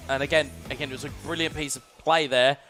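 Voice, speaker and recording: A man's voice: medium pitch, fairly even intonation, quick pace, fairly bright tone, soft background music. A British man giving fluent, analytical play-by-play commentary on a live esports match. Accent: British English. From United Kingdom. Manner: fluent, analytical broadcaster delivery.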